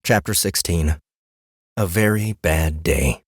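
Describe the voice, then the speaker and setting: A man's voice: low voice, slow pace, no room sound. A man reading scripted fantasy narration as an audiobook narrator, close on a studio mic.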